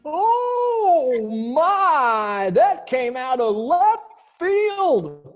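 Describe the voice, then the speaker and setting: Dramatic, slow-paced woman narrator. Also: a little high. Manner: dramatic, exclamatory. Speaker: narrator and host. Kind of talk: theatrical radio-mystery style narration.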